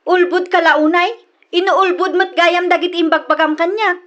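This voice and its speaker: A woman plays an indignant young girlfriend in an Ilocano radio drama. Her voice is very high, with an Ilocano accent and a stubborn, questioning delivery. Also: steady tone, normal speed, a bit bright, highs cut off. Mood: angry.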